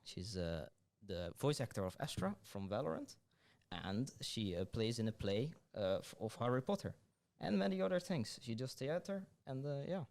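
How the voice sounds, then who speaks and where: A man's voice: medium pitch, expressive, moderate pace, darkish tone. A young man hosting a podcast, upbeat but hesitant, with many restarts. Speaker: podcast host. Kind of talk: casual podcast interview.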